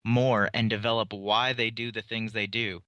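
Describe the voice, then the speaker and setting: Male panelist, bright punchy tone, animated casual talk, dry close sound. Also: fairly low pitch, moderate pace, smooth, no echo, lo-fi sound. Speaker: podcast panelist. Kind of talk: casual, conversational explanation.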